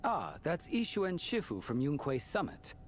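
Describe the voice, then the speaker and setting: A woman's voice: low-pitched, wildly swooping pitch, normal speed, slight background noise. A woman playing a polite, eager office assistant in a game cutscene, deferential and earnest. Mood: courteous. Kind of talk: scripted game cutscene acting.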